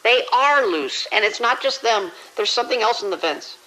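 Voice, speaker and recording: A man playing a terrified, trapped hospital worker in an audio drama, stammering and halting with fear. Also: high-pitched, animated, pushed and bright, poor audio. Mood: desperate dread.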